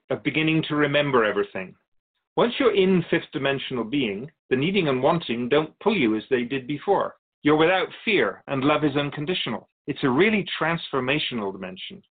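A man playing a patient, wise, otherworldly Keeper of Souls who teaches in a measured, philosophical way in a radio drama. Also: on the high side, big pitch swings, slightly rough, narrow, tinny audio. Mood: calm, expansive. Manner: measured, philosophical, gently instructive. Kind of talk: scripted radio drama dialogue.

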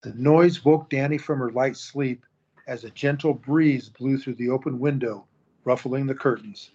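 A male narrator reads the scene directions in a measured way. His voice has a husky grain. The recording sounds dull and lo-fi. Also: mid-range voice, darkish tone. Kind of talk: scripted narration.

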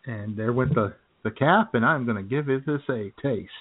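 A man co-hosting a radio comedy show in casual banter, jokey and a little halting, often repeating his phrases. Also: mid-range voice, a little hiss or hum. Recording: muffled phone-like internet radio audio.

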